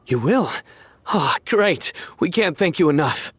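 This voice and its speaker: A man's voice: fairly high pitch, expressive, a little slow, a bit bright, a little hiss or hum. A man playing an earnest soldier in a video game. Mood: grateful. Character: earnest soldier reporting a fruitless search.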